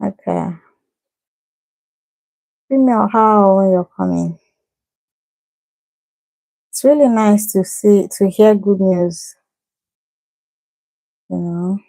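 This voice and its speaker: Brief: woman vlogger from Cameroon, warm-toned and slow, chatty and upbeat with viewers, laughs a lot. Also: medium pitch, vocal fry, no echo, rough recording. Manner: chatty and encouraging, greets viewers by name, laughs often. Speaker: livestream host giving visa advice. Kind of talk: casual livestream chat.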